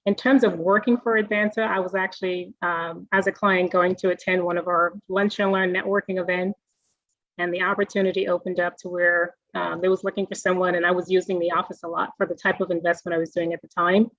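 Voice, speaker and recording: A young woman from the Bahamas, a guest answering interview questions in a friendly, measured way with frequent fillers over a video call. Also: on the low side, little pitch movement, fairly bright tone, dry recording. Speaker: guest, self-directed IRA specialist. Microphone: video call mic. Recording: dull, dry video call audio.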